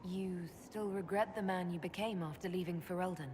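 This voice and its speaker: An actress playing a composed, caring heroine and devoted lover in a video game cutscene. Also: female voice, on the low side, steady tone, fairly quick, a little mellow, a little hiss or hum, lightly acted. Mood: calm, curious. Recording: long reverb under music.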